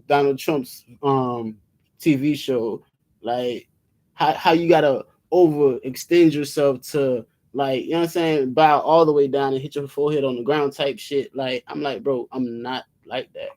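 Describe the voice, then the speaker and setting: A man's voice: medium pitch, steady tone, unhurried, a little mellow. An American man in his thirties chatting casually and telling a story in slangy speech. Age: 30s. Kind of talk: casual chat, telling an anecdote.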